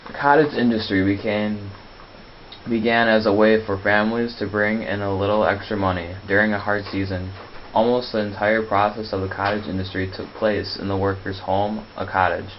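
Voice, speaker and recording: Low, flat-voiced male narrator. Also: normal speed. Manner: flat reading, stumbles over words. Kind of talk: scripted educational narration. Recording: dull, lo-fi, faint hiss.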